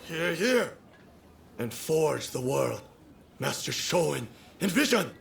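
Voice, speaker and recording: A man playing a solemn, devoted rebel follower in a video game, speaking in a reverent, drawn-out way. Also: fairly high pitch, sing-song, vocal fry, exaggerated voice. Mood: fervent. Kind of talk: video game cutscene acting. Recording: faint ambient noise behind.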